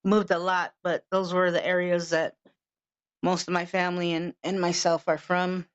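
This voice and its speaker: Smooth-voiced laid-back woman in her fifties. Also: fairly low pitch, flat delivery, normal speed. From Los Angeles, California. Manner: laid-back, chatty, swears casually. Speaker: podcast co-host. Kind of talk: casual chat. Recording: dull lo-fi sound.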